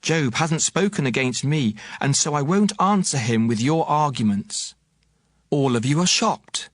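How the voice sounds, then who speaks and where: Higher-pitched, earnest young man rebuking his elders. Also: lively intonation, a little slow, no room sound, studio quality. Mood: indignant, bursting. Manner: emphatic, declamatory, admonishing. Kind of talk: dramatized audio Bible speech. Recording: music behind the voice.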